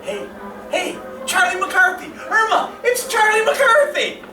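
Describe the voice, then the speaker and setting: A woman playing a brash, excitable working-class radio listener in a stage radio drama, blunt and folksy. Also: very high voice, slow pace, over music, exaggerated voice. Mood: excited. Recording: distant, echoey stage recording, faint noise.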